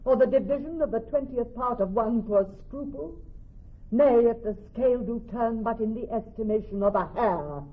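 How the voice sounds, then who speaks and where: A woman playing a clever young woman posing as a male judge in classical radio drama, speaking in a measured, formal, authoritative way. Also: on the high side, animated. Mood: stern, commanding. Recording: thin, narrow-band old radio broadcast.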